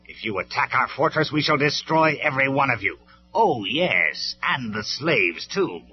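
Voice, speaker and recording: A man voicing an arrogant male robot tyrant who mocks in a loud, raised voice, on a dull lo-fi recording with faint music. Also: mid-range voice, very animated, normal speed, pitched above the performer's own voice, natural voice. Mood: sneering.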